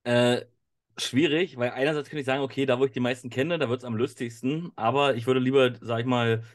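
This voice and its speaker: German male co-host, casual and musing, average pitch. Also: steady tone, a little fast. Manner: thinks aloud with fillers. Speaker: podcast co-host. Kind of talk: casual podcast chat.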